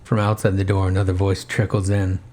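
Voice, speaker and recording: A man's voice: sits low, completely flat delivery, fairly quick, a little mellow, slight background noise. A man reading scripted first-person horror narration as the storyteller.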